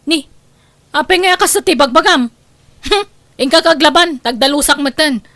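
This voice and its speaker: An actress with a Filipino accent playing a gossipy, sly housemaid who grumbles and wheedles in an audio comedy drama. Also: female voice, high voice, normal speed, a bit bright, no room sound. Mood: snide, gossipy. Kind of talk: Ilocano comedy radio-style drama acting.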